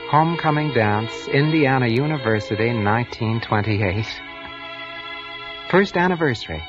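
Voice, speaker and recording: A man plays a haunted, guilt-ridden husband narrating a vintage radio thriller. His delivery is intense and halting, at a middling pitch. The sound is muffled, with music clearly underneath. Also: expressive, natural voice. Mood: nervy, brooding. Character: nervous, guilt-ridden husband plotting to poison his wife. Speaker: narrator and lead actor. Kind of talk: 1940s radio thriller acting, first-person narration.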